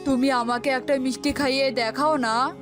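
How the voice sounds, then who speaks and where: A woman's voice: high-pitched, fairly even intonation, normal speed, music in the background, close to an everyday voice. A woman voicing a proud, cunning eagle king in a cartoon, with a commanding, teasing manner. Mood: sly, coaxing.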